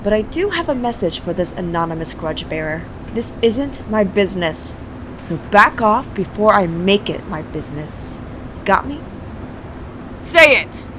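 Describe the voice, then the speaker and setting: A woman's voice: mid-range voice, big pitch swings, measured pace, background noise. A woman playing a foul-mouthed, defiant mercenary turned bounty hunter in an audio drama, sassy, sarcastic and combative. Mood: threatening. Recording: loud music behind the voice. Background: loud music.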